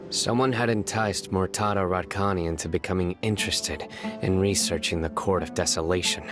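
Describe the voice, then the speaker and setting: An actor playing a stern, serious young man who enforces the law, speaking in a grave, measured, restrained way. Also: deep voice. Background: game music.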